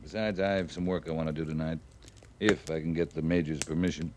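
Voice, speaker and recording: An actor playing a world-weary, no-nonsense cavalry captain, curt and dry, in old-time radio western style. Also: male voice, rumbling low, steady tone, brisk. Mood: dry, gruff. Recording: noisy old radio recording.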